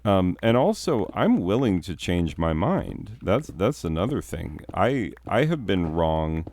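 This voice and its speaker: A man, a teacher, chatting casually in a deep voice with a downward, newscaster-like inflection that sounds authoritative. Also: big pitch swings, a little slow, darkish tone. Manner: rambling with restarts and chuckles. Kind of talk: casual chat.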